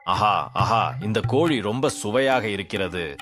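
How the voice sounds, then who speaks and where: A man's voice: lowish voice, animated, slow. Male actor, sly greedy village thief, lazy rogue, exaggerated exclamations, children's story, music behind. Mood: smug. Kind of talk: children's moral story acting.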